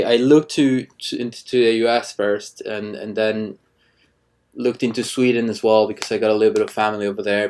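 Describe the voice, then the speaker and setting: A man in his thirties from Ecuador, a cinematographer chatting casually in an interview, halting with many restarts and fillers. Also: on the low side, darkish tone. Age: 30s. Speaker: cinematographer guest.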